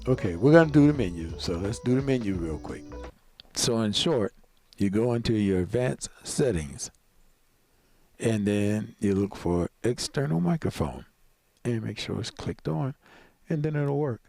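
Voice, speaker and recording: A man's voice: fairly low pitch, lively intonation, a little slow, gravelly, darkish tone, no room sound, faint music behind. A man giving a casual step-by-step tutorial as a video creator, rambling and often repeating himself.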